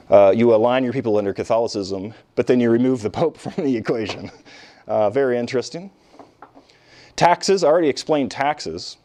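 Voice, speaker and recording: A man's voice: on the low side, dark tone. A middle-aged man lecturing on history in a conversational, emphatic way, with frequent fillers and restarts. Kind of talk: classroom lecture.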